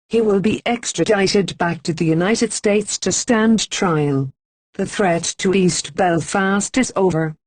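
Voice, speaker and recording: A woman reading a scripted emergency broadcast announcement in an even, formal manner with slow, deliberate pauses. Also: on the low side, no echo.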